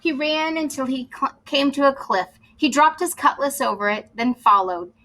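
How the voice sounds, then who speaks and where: A woman's voice: sits high, normal speed, pushed and bright. A woman reading a children's story aloud expressively, as a teacher would, explaining to young listeners as she goes.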